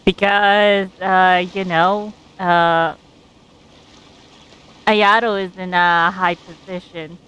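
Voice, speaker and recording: A woman streaming a game, casually commenting on the story as she haltingly thinks aloud. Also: fairly low pitch, steady tone, a bit bright, smooth, muffled recording.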